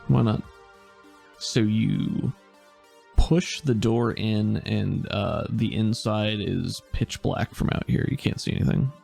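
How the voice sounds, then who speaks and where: A man narrating as game master in a casual tabletop session, relaxed and hesitant, thinking aloud with many fillers. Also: on the low side, slow pace, gravelly, soft background music.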